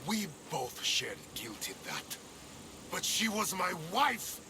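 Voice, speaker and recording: An actor playing a bitter, angry, defiant mercenary in a video game. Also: male voice, sits high, huge pitch swings, slow pace, background noise, exaggerated voice.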